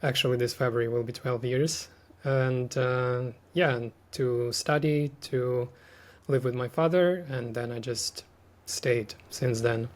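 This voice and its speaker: Thoughtful man, mid-pitched. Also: measured pace. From Ukraine. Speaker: podcast guest, artist and language teacher. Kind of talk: casual reflective conversation.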